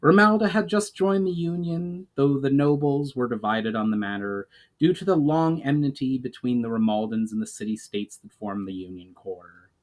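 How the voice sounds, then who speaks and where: A man narrates a fantasy story in a mid-pitched voice. He reads formally and carefully, in the manner of a dramatic amateur audiobook. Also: darkish tone. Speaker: author reading own novel aloud.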